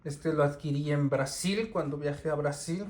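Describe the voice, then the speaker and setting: A man, talking casually and unhurriedly through his CD collection, with many pauses and restarts. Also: fairly high pitch, darkish tone. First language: Spanish. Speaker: record collector.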